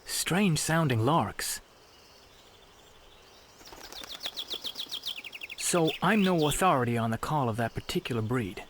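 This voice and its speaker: A man's voice: mid-range voice, lively intonation, normal speed, natural voice. A man playing a bold, impetuous young hero in a video game, with a direct, challenging delivery. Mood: wary. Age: young adult. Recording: clear insect and environmental noise behind.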